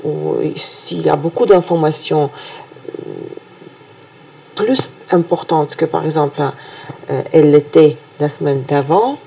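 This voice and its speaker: A woman with a Russian accent, a native Russian speaker, presenting a weekly horoscope video in a halting way full of hesitations and restarts. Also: low voice, gravelly, mellow, rough recording. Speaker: astrologer.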